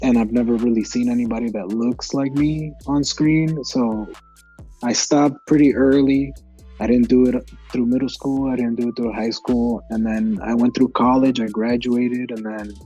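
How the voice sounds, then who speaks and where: Young male actor, 20s, relaxed interview talk, husky tone, dull recording. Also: medium pitch. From Massachusetts. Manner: relaxed, many fillers and restarts. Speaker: actor guest. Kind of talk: casual interview answers.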